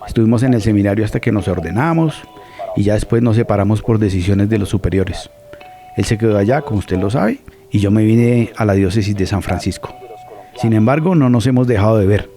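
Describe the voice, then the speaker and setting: An actor playing a talkative, formal parish priest in an audio drama, with a Colombian accent. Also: male voice, lowish voice, dark tone, close to an everyday voice. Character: sociable parish priest, longtime friend of a colleague.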